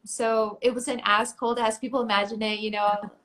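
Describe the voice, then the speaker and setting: A woman's voice: fairly high pitch, level tone, normal speed, fairly bright tone. A young woman from Mexico, a Spanish speaker, hosting a live video chat in English, friendly and enthusiastic. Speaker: pageant titleholder, live stream host.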